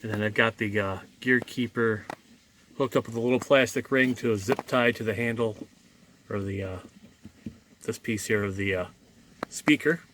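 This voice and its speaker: A man's voice: fairly low pitch, fairly even intonation, moderate pace, pauses often. An American man, a truck driver, chatting casually about his day in a folksy, self-deprecating, rambling way. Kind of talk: casual first-person vlog chat. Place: in and around a truck cab. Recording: loud vehicle and road noise throughout.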